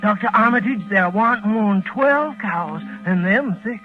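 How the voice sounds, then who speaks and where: An actor playing an uneasy young farm lad with a rural New England accent in halting 1940s radio drama style. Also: male voice, sits high, expressive. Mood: uneasy, awed. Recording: muffled, phone-like old radio recording, music.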